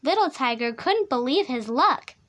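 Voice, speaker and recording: A woman with a high voice reads a children's story aloud. Her delivery is soothing and expressive, shaped for a young listener at bedtime. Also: moderate pace. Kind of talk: animated children's storybook reading.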